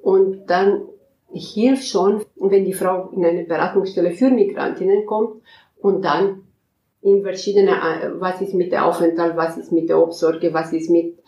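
A woman counselor answering interview questions in a halting way, often searching for words and restarting sentences. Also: fairly low pitch, fairly even intonation, warm tone, reverberant, lo-fi sound, a little hiss or hum. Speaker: counselor at a migrant women's advice center.

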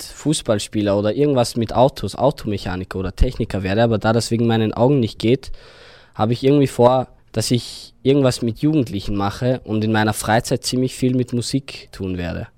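A man's voice: medium pitch, fairly quick, darkish tone, dry recording. Brief: young man, Albanian native, hesitant casual answers, dry sound. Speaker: student interviewee. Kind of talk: casual interview.

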